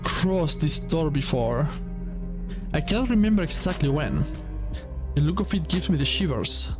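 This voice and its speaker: A medium-pitched voice in a casual let's play, reading game text aloud and dropping in offhand, sweary reactions. Faint game music plays underneath. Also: sing-song, a little slow. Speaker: video game player reading dialogue aloud.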